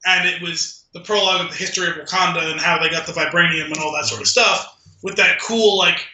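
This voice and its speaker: A man's voice: on the high side, fairly even intonation, quick pace, bright, forceful tone. A man co-hosting a podcast, chatting casually about a film in a rambling, conversational way. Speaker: podcast co-host. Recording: echoey room.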